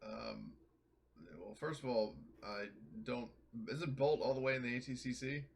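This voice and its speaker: A man's voice: medium pitch, huge pitch swings, unhurried. A man playing a tabletop roleplaying game, talking casually in and out of character.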